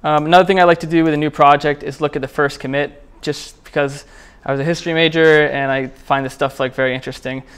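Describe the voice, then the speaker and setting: A man presenting at a programming meetup in a casual, conversational, somewhat halting way as he walks through a live demo. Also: fairly high pitch, fairly even intonation, a bit bright, smooth. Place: indoor meetup room, speaking before a small group.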